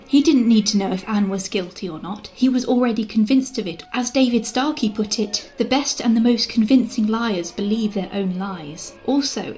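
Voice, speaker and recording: A woman's voice: a little high, a little mellow. A woman delivering scripted, sarcastic film commentary with exasperated asides. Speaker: video essay host, film reviewer. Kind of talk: scripted critical commentary on screen dramas.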